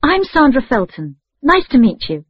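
Higher woman politely asking names. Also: big pitch swings, moderate pace, slightly rough, no room sound. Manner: polite, slightly apologetic. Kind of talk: scripted language-course dialogue. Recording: dull lo-fi dry tape recording.

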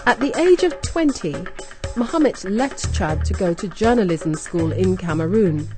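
A woman radio presenter hosting a scripted language-learning programme, explaining words and phrases clearly and deliberately. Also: medium pitch, lively intonation, music in the background. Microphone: close studio mic.

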